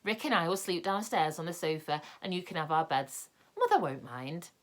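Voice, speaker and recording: A woman voicing an eager young boy in a children's story, quick and a little alarmed. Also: lowish voice, very animated. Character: lively young boy adventurer.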